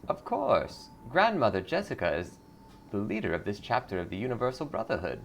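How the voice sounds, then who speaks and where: A man's voice: on the low side, very animated, brisk, creaky, slight background noise. A man voicing a blissful, eager cult greeter in a video game, sweetly pious and salesy. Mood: serene, eager.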